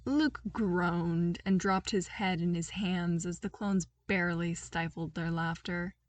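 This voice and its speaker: A woman reading a scripted story aloud as an expressive narrator. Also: on the low side, slow, far from the mic. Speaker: fan fiction reader.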